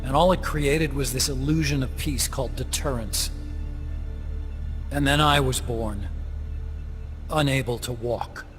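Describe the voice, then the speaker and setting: An actor playing an earnest, bookish scientist in a video game, explaining things a little awkwardly. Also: male voice, medium pitch, a little slow, long pauses, a bit bright, lightly acted. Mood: regretful, grave. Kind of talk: video game cutscene acting. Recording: music under the voice.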